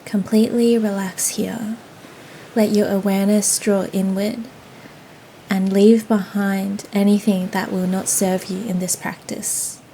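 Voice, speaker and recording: A woman leading a guided yoga nidra meditation in a soothing, gentle, unhurried manner. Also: medium pitch, poor audio. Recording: faint steady ocean noise behind. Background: ocean waves ambience.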